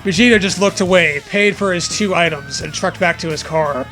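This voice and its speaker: A man's voice: high voice, moderate pace, bright, forceful tone, soft background music. A man giving a comedic, mock-dramatic reading aloud as co-host, with profane banter.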